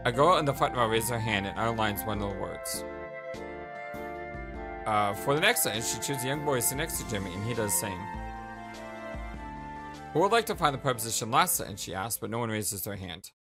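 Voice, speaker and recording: A man's voice: lowish voice, expressive, brisk, over music. A man reading visual novel narration as a let's play host, with casual commentary and the odd stumble.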